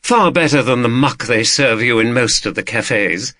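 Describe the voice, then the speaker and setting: A man's voice: medium pitch, normal speed, sharp tone, dry recording, natural voice. A man voicing a wealthy, haughty woman collector in an audiobook, gracious, discreet and imperious. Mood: brisk, hospitable.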